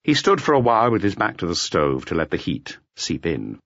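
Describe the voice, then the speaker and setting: A man reads an audiobook as a scripted, dramatic narration. His voice is husky and lowish with very lively intonation, recorded dry and slightly dull. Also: fairly quick, dry recording.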